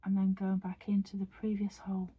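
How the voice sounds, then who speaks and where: A woman who is croaky after a virus, teaching an embroidery craft tutorial in a chatty, meandering way with many fillers and restarts. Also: mid-range voice, completely flat delivery, a little fast, narrow, tinny audio. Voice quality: husky.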